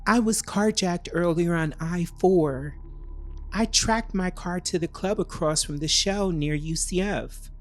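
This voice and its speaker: Man acting a panicked, high-strung emergency caller. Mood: feigned panic. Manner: tight, clipped, halting with panic. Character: wronged lover feigning panic on emergency call. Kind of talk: audio thriller dialogue.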